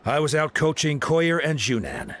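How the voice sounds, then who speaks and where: An actor playing a composed, courteous man in a video game, explaining things in a measured way. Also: on the high side, wildly swooping pitch, a bit bright, full character voice. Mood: reassuring. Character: composed, courteous adult man, resistance leader and coach.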